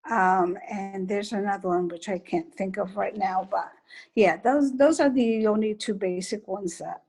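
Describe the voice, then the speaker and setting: A woman student speaking slowly in an online class, hesitant and halting as she looks for words. Her voice sits mid-pitch, heard over a video-call mic. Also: darkish tone, husky. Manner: slow, hesitant, searching for words. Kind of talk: answering in class. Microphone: computer mic on video call.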